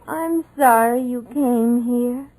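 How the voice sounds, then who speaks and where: High, slow, wistful deluded housekeeper. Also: female voice, a little mellow, low-quality recording. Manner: slow, plaintive, dreamy. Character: deluded, wistful widowed housekeeper, childlike and yearning. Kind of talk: 1940s radio drama acting.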